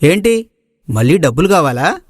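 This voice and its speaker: A man's voice: on the high side, big pitch swings, moderate pace, slightly rough, no room sound, clean studio sound. A man playing a haughty village moneylender and landlord with a condescending manner. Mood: mocking, dismissive.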